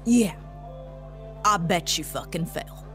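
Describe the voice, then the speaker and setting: A sardonic, commanding police chief. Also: female voice, lowish voice, slow pace, fairly bright tone, soft background music. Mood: sarcastic, incredulous. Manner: sarcastic, folksy, authoritative. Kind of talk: scripted audio drama acting.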